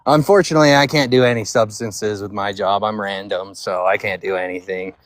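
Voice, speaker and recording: A man's voice: lowish voice, steady tone, brisk. A man chatting casually on a live stream as a panelist, full of bro-and-dude slang.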